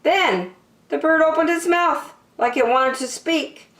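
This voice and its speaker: A woman reading a picture book aloud to children in an expressive way, drawing out key words for emphasis. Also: high-pitched, unhurried, roomy sound. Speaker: children's story reader.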